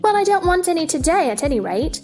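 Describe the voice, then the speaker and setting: A woman's voice: very high-pitched, sing-song, fast, darkish tone, faint music behind. A woman playing a polite, puzzled, sensible young girl in a theatrical children's storybook drama, earnest and questioning.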